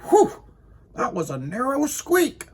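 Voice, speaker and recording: A fussy storybook clockmaker, acted out by a man, pitched well above a normal talking voice. He is easily flustered and exclaims in a plaintive, dramatic, swooping way. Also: wildly swooping pitch, slow, breathy edge, heavily acted. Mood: startled relief.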